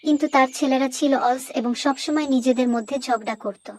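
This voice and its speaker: A woman narrating a moral story for children in a clear, didactic storytelling manner. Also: high-pitched, fairly quick.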